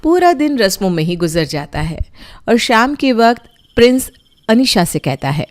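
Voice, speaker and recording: A woman narrates a scripted story in a fairly high voice. She tells it as a storyteller would, setting out each scene. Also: sing-song, moderate pace.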